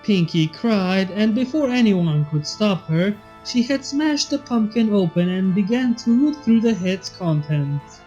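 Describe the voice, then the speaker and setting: A young woman narrates a story as a channel host would. The voice is mid-pitched and a little dull in recording, with faint music underneath. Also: animated, measured pace, few pauses. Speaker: fanfiction reader and channel host. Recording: slightly dull audio, soft background music.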